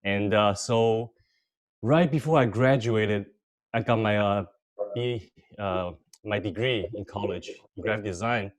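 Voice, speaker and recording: A man with a lowish voice tells his career story on a video call, relaxed and full of small fillers. He grew up between Taiwan and the United States. The sound has the close feel of a computer mic. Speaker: guest speaker.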